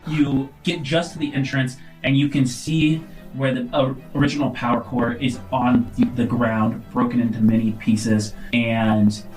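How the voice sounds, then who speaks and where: A man's voice: medium pitch, little pitch movement, unhurried, darkish tone, faint music behind, poor audio. A man narrating a tabletop game as game master, descriptive and feeling his way through phrases.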